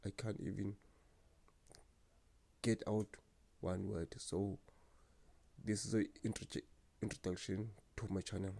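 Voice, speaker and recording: Soft-spoken young man with a stutter. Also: on the low side, flat delivery, unhurried, creaky, long pauses. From South Africa. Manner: stutters, with repeated words and blocks. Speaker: channel host. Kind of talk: personal vlog talk to camera.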